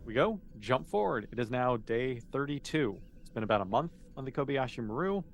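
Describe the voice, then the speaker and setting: A man's voice: mid-range voice, expressive, brisk, soft background music. A man running a tabletop role-playing game as game master, explaining things in a casual, encouraging way.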